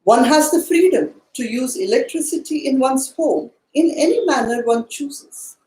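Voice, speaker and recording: A woman leading a spiritual study class, speaking in a measured, deliberate and formal way as she teaches. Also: high voice, lively intonation, mellow. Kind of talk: spiritual study lesson, explaining and reading aloud from a book. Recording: echoey room sound.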